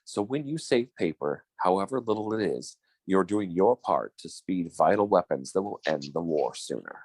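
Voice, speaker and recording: A man's voice: low voice, lively intonation, moderate pace, darkish tone, no echo. A man playing an earnest wartime radio announcer, reading a formal public service message in 1940s style. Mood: earnest, instructive.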